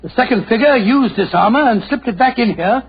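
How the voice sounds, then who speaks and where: A flustered old british gentleman sidekick, gravelly, high voice, blustery, old radio show. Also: animated, a little fast, full character voice. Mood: puzzled. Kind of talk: 1940s radio acting. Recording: muffled, noisy old 1940s radio recording.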